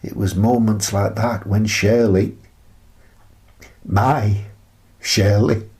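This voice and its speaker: A male actor playing a wistful retired teacher and grandfather in his sixties, telling his story in a reflective, measured radio play monologue with pauses between phrases. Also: lowish voice, steady tone, darkish tone. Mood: sorrowful, tender.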